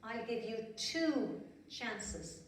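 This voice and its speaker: A woman voicing a stern good fairy in a children's song, warning in a slow sing-song. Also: high voice, lively intonation, voice pushed up. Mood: scolding. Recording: echoey room, distant mic.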